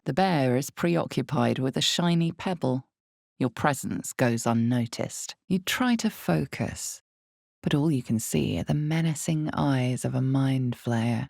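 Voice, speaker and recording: Very deep, creaky, ominous fantasy narrator. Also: female voice, darkish tone, no echo, clean studio sound, heavily acted. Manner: deliberate, solemn, suspenseful. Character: ominous, brooding female narrator of a dark fantasy. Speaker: game narrator. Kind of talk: scripted dramatic second-person fantasy game narration.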